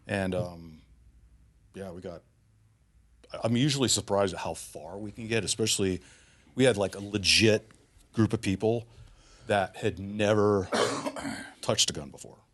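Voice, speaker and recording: A man's voice: lowish voice, a bit bright. A man in his forties, a shooting instructor on a podcast, talking in a loose, rambling way. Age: 40s. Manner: rambling, loose, lots of fillers and restarts. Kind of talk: casual podcast chat.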